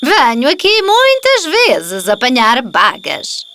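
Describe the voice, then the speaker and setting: A woman voicing a kindly old woman in a cartoon fairy tale, slow and drawn out, with a European Portuguese accent. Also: high-pitched, huge pitch swings, bright, forceful tone, a little hiss or hum, full character voice. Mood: gentle, curious.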